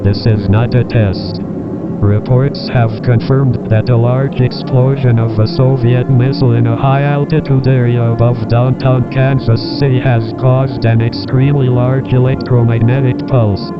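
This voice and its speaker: A man's voice: mid-range voice, flat delivery, a little slow. A man reading a formal, scripted emergency alert as a broadcast announcer, on a dull lo-fi recording. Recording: dull lo-fi broadcast, loud music. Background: loud music.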